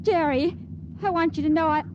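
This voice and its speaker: An actress playing a young female stowaway who speaks softly and tenderly while facing her death, in 1950s radio acting. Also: very high-pitched, steady tone, fairly quick. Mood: tender farewell. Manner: soft, tender and resigned. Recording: dull old radio transcription with loud hiss.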